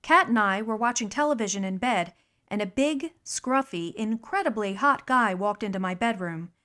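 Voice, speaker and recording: A woman narrating audiobook excerpts in a measured, expressive storytelling manner. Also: medium pitch. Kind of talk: audiobook narration demo reel.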